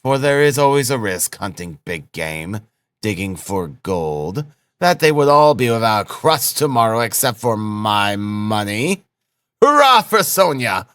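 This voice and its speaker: A man's voice: sits low, dry recording, studio quality. A man voicing a brooding, cynical young man in an audiobook, musing to himself with bitter sarcasm. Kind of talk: audiobook character acting.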